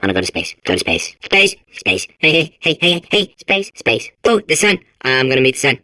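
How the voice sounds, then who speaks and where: A man's voice: on the high side, sing-song, brisk, pushed and bright, dry recording. A man voicing a manic, childlike robot in a video game, stuttering and compulsively repeating words. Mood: dreamy longing. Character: manic, childlike male robot sphere obsessed with space. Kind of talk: video game character acting.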